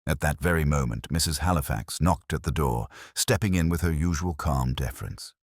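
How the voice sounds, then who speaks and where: A man narrates detective fiction in an extremely deep voice. His delivery is measured and solemn, with plenty of pitch movement. The recording is dry and close. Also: lively intonation, no echo. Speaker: audiobook narrator. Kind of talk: scripted first-person detective story narration.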